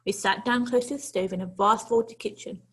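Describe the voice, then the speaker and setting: A woman's voice: mid-range voice, fairly even intonation, moderate pace, slightly rough. A woman teacher reading a children's story aloud to pupils, expressively and with dramatic, emphatic phrasing.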